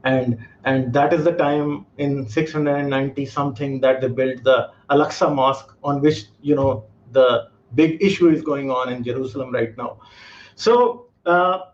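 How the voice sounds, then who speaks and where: A man from Pakistan, calling in and explaining his views earnestly, with many fillers. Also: fairly high pitch. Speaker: caller. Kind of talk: call-in discussion. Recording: muffled lo-fi call audio.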